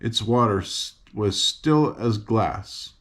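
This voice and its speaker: An older man reading a children's book aloud in a gentle, slow read-aloud manner. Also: lowish voice. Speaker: storyteller.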